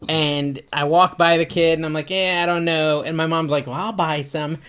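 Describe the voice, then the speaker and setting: A man's voice: fairly high pitch, steady tone, moderate pace, fairly bright tone, smooth. A man co-hosting a podcast, telling rambling stories and joking with a giggly manner. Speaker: podcast co-host. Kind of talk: casual chat, storytelling.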